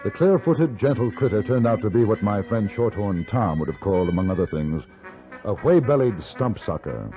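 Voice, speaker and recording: A man playing a wry, polite English reporter in the West, with a measured, understated delivery in a radio drama. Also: fairly low pitch, animated. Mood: wry storytelling. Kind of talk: first-person radio drama narration and dialogue. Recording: muffled 1950s radio, music behind.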